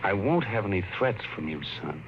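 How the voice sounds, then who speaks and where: A firm, worried father, his lowish voice rising and falling a lot. The old radio sound is dull and muffled, with a hiss running through it. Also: big pitch swings, a little fast. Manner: firm, stern. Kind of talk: 1950s radio drama acting. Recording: muffled hissy 1950s radio broadcast.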